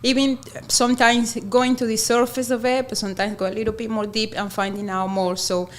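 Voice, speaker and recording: A woman's voice: fairly high pitch, flat delivery, a little fast. A woman from Venezuela with a Venezuelan Spanish accent, a radio interview guest who works on a community sports program. Her first language is Spanish, and she talks earnestly, repeating words while she searches for them.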